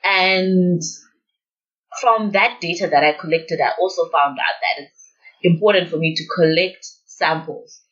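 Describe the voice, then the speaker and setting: A woman's voice: lowish voice, unhurried, fairly bright tone. A woman, explaining her research as an interview guest. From Zimbabwe. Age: 20s. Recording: dull lo-fi audio.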